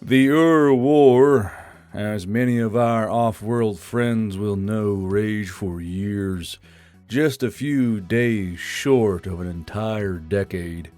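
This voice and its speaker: A man performs a battle-worn drill sergeant in a sci-fi audio story, solemn and heavy with regret. He speaks very slowly in a fairly low voice, as if delivering a grave speech. Faint music plays underneath. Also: darkish tone, no echo. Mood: solemn, regretful. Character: weary, solemn veteran male drill sergeant recounting an alien war. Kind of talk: dramatic audiobook character reading.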